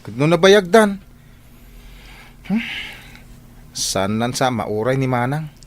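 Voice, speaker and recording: A man's voice: medium pitch, very animated, takes it slowly. A man with an Ilocano accent playing a dutiful young student and younger brother in a radio drama. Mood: concerned. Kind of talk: Filipino radio drama acting.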